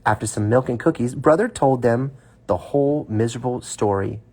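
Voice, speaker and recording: Husky man reading a kids' story aloud. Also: medium pitch, normal speed, warm tone. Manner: informal, playful asides to a young listener. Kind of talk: children's story read aloud, with casual asides.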